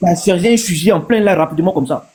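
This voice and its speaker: A man's voice: sits high, quick pace, a little mellow. A man from Ivory Coast with an Ivorian accent, giving his opinion casually as a panel guest on a live stream, repeating himself for emphasis.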